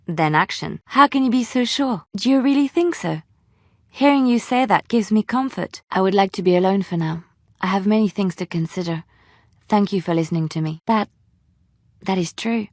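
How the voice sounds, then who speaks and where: Actress doing a gentle young lady bard for a fantasy game, earnest n expressive, medium pitch, dry studio sound. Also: no echo, natural voice. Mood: conflicted, pensive. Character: gentle, earnest young woman bard, playful yet troubled. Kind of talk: scripted video game dialogue.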